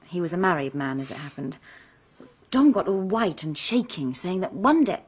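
Radio drama role: young English woman, frank, anxious, emphatic, swooping intonation, slightly husky. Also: on the low side, sing-song, moderate pace. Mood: anxious, confiding.

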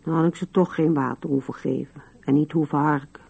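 An older woman acting in a radio drama as a musing, wistful old widow with a gravelly, husky voice. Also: deep voice, steady tone, a little fast, darkish tone, full character voice. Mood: matter-of-fact.

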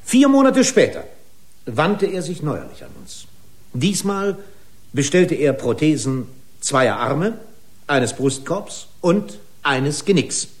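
An actor playing a pedantic, accusing corporate lawyer in a German radio drama, speaking in formal, pompous legal style. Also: male voice, on the high side, sing-song, takes it slowly. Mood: pedantic, indignant.

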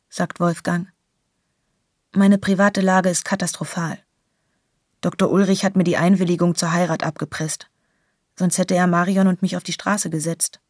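A woman's voice: on the low side, a little mellow, no echo, studio quality. A woman reading scripted literary narration in a radio play.

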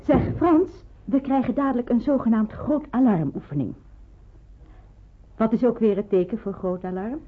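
An actress playing a practical, helpful woman in hiding in a radio drama, explaining things briskly and precisely. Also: on the high side, a little hiss or hum, close to an everyday voice. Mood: brisk, instructive. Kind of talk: Dutch radio drama acting. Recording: muffled, phone-like old radio recording.

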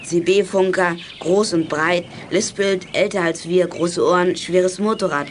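A woman's voice: fairly low pitch, fairly even intonation, measured pace, lightly acted. A cheeky young boy played by a woman talks in brash slang and teases his friends. He is a plucky kid detective in a 1980s radio play, with a little background noise. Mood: brisk, confident.

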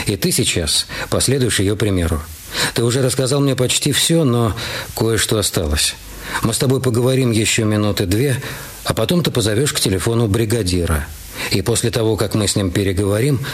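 A calm police inspector. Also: male voice, on the low side, husky. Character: calm, authoritative middle-aged male police inspector. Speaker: police inspector questioning a witness. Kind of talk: radio play acting. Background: music.

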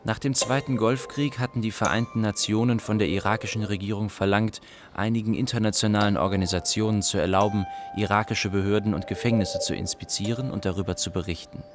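An actor playing a sober chronicler, giving formal, detached report-like narration in a radio drama. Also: male voice, on the low side, fairly even intonation, a little fast, noisy background, close to an everyday voice. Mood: factual. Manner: factual, detached.

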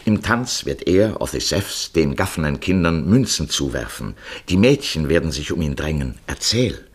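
Male narrator for literary radio drama, very low husky voice, scripted storytelling. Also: sing-song, normal speed.

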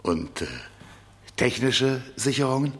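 A man's voice: medium pitch, animated, measured pace, a bit bright. An actor playing a courteous, slyly eager American travel businessman in a German radio crime play, hesitant and probing. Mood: curious.

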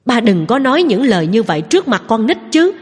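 A woman's voice: a little high, big pitch swings, brisk, breathy edge, big-room sound, pitched above the performer's own voice. Upset young father, as a woman reads him. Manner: raised, pleading. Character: upset young father defending his daughter.

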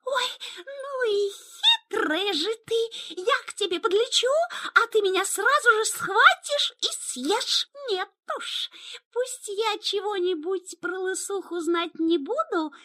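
Very high-pitched, bright little dragonfly. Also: female voice, slow pace, full character voice. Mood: wary, cheeky. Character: carefree, wary little female dragonfly who flits about. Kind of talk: children's audio play.

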